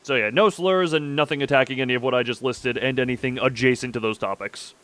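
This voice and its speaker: A man giving casual, informal commentary on a game stream, with halting phrasing. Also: mid-range voice, a bit bright. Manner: halting, informal, mildly sweary. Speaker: live streamer.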